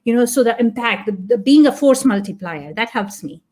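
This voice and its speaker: A woman whose first language is Punjabi, answering as a podcast guest. Her voice sits a little on the high side. She talks earnestly and reflectively, thinking aloud as she goes. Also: lively intonation, normal speed, darkish tone. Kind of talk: casual interview talk.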